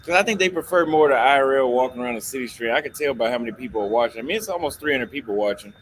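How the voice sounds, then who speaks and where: A man's voice: medium pitch, lively intonation, very fast, a bit bright, slight background noise, rough recording. A man hosting a casual livestream chat outdoors, laid-back and joking, with plenty of swearing. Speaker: livestream host.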